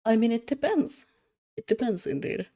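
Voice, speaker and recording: A young adult streamer chats loosely on a streaming mic while drawing commissions. The voice sits at a middle pitch and sounds tired, with words slurring a little. The talk rambles and turns self-mocking, broken by frequent laughs. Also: big pitch swings, moderate pace, dark tone. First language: Russian. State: tired, slightly slurred speech. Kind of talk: casual live-stream chat.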